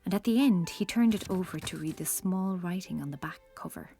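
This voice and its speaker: A woman's voice: on the low side, expressive, a little fast, creaky, warm tone. A woman narrating a scripted children's story as the storyteller of an audio drama.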